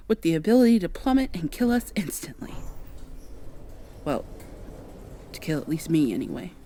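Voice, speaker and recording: A woman playing a guarded, sardonic human woman in a vampire ASMR roleplay, speaking close and breathy, with sarcastic, wary delivery that slips into whispers. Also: medium pitch, dark tone, a little hiss or hum. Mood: sarcastic, uneasy.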